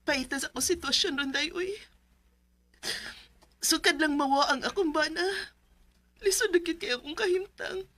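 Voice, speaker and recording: A woman's voice: high voice, normal speed, pauses often, a bit bright. A woman with a Cebuano Filipino accent playing a weary but determined widowed mother in a radio drama, plaintive and emotional. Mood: distressed. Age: 30s.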